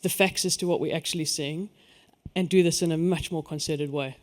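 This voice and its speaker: A man's voice: on the high side, steady tone, brisk. South African man, emphatic panel speaker. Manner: emphatic, lifts his voice on key points then trails off. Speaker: energy industry panelist. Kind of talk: conference panel discussion.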